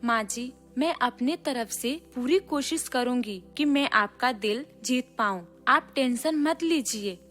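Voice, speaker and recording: A woman's voice: high voice, a bit bright, exaggerated voice. A woman voicing a respectful, self-assured young city bride in a storybook cartoon. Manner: respectful, reassuring.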